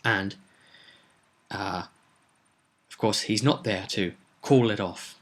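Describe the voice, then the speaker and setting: A man speaking as a game master, explaining a tabletop campaign informally with halting phrasing and dramatic storytelling emphasis. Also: lowish voice, slight background noise.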